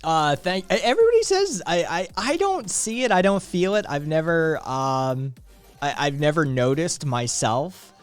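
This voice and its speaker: A man's voice: fairly high pitch, big pitch swings, normal speed, clear, resonant tone, faint music behind. Laid-back profane streamer man. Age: late 30s. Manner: profane, rambling, laid-back with frequent fillers. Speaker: streamer host. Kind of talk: casual live-stream chat.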